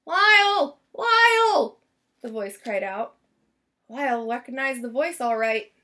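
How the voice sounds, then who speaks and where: A woman's voice: fairly high pitch, unhurried. A woman reading a children's story aloud expressively, stretching and stressing words for drama. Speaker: storybook reader.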